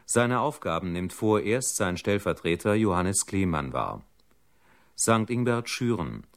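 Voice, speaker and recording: An actor playing a sober, formal newsreader delivering a scripted bulletin in a radio drama. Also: male voice, low-pitched, expressive, measured pace.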